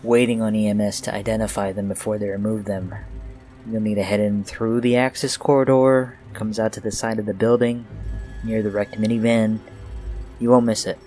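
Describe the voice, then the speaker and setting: Flat, low-voiced actor as an FBI guard. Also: male voice, normal speed, soft background music, lightly acted. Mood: matter-of-fact. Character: terse, matter-of-fact male FBI guard. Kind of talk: audio drama acting.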